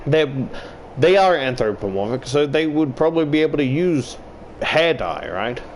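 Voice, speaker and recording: A man giving casual, rambling game commentary, halting and swearing freely as he talks. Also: fairly high pitch. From Australia. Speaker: YouTuber playing and reading a visual novel. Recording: clear steady air-conditioner background noise.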